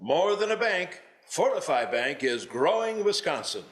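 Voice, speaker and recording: A man's voice: fairly high pitch, big pitch swings, a little slow, reverberant. A man reading a scripted advertisement as an announcer. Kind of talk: scripted ad read.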